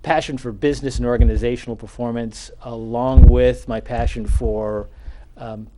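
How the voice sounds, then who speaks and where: A middle-aged man speaking as a talk-show guest. His voice sits at an ordinary pitch, and he explains things at a measured pace with frequent "uh"s and restarts. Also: steady tone, noisy background. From Charleston, South Carolina. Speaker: guest, NAACP chapter president. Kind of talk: casual talk-show interview.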